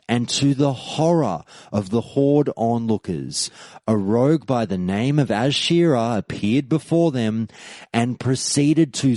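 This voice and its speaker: Man narrating a game history story. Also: no echo. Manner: measured storytelling with lively pitch swings. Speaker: podcast host.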